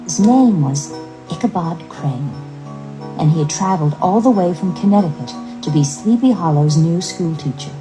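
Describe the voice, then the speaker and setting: Low-voiced woman narrating over music. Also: expressive, moderate pace, poor audio. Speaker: storyteller, narrator. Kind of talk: scripted dramatic storybook narration.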